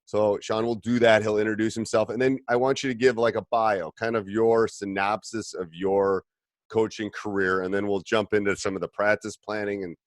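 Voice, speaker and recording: A man hosting a coaching podcast in a low voice. He is eager and welcoming and drops in "um" and "you know" as he talks. The sound is dry and close. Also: little pitch movement, moderate pace, no echo. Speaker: podcast host. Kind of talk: podcast hosting and sponsor reads.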